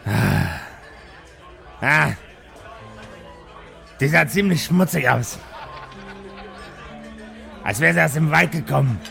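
A man's voice: on the high side, lively intonation, slow pace, gravelly, pauses often, bright, forceful tone, soft background music, some chatter behind, heavily acted. A man playing a grumpy, crude working man in a tavern, with a Bavarian accent and a curt way of talking. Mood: gruff.

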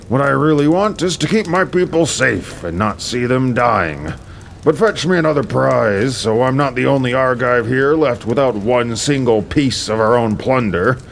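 A man's voice: medium pitch, creaky, a little hiss or hum. A man playing an arrogant, wrathful king and army commander in an epic audio drama, imperious and menacing in his declamation. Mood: grudging, aggrieved.

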